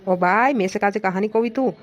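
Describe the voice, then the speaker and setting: A woman's voice: medium pitch, quick pace, natural voice. A woman voicing a stubborn, argumentative young village son in a cartoon comedy, always complaining and answering back.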